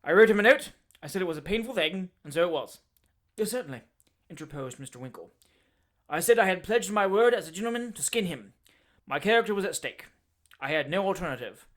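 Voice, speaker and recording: A man voicing a fierce, blustering former army man in middle age, speaking loud, short, abrupt, jerking sentences. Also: high-pitched, expressive, brisk.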